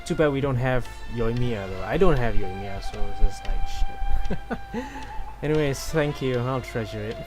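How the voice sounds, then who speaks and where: Slow, unhurried male game streamer. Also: medium pitch, lively intonation. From Malaysia. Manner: rambling, laid-back. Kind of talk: casual livestream commentary, reading game dialogue aloud. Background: game music.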